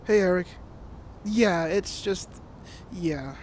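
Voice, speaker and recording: Actor as high-voiced, apologetic young man. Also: slow, darkish tone, a little hiss or hum. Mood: subdued, weary. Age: young adult. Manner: hesitant, apologetic. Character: weary, apologetic young man fed up with his life. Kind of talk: audio drama acting.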